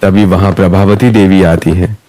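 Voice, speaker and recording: A man's voice: low-pitched, fairly even intonation, moderate pace, dark tone. A man narrating a scripted moral story.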